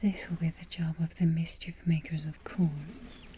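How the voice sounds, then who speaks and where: A woman playing a haughty evil queen in a soft-spoken ASMR roleplay, breathy and whispery, giving petty orders with imperious, mock-sweet deliberation. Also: deep voice, steady tone, a little slow, slight background noise. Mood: smug, mischievous. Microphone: close ASMR microphone.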